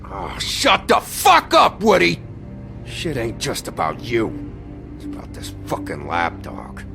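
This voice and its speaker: A man playing a resentful, hot-tempered tough in a dramatic video game, coarse and sneering, with a gravelly voice. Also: mid-range voice, huge pitch swings, takes it slowly, pushed and bright, soft background music, full character voice. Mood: angry, resentful. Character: surly, resentful, hot-tempered tough from the poor side of town.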